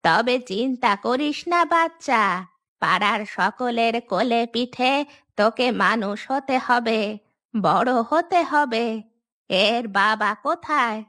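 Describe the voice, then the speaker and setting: Woman putting on a slow, drawling old village granny. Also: a little high, expressive, sharp tone, full character voice. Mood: pitying. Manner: slow, drawn-out delivery. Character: old village granny, doting, sentimental and bossy.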